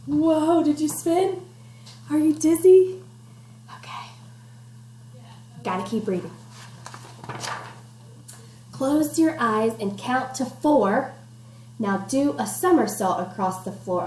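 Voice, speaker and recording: A woman with a fairly high, very animated voice reads a picture book aloud to young children. She speaks slowly, encouraging them and giving simple instructions, in an echoey room at home. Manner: encouraging, addresses children directly with questions and instructions. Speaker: teacher reading aloud to children. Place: at home, indoors. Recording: echoey room with faint background noise.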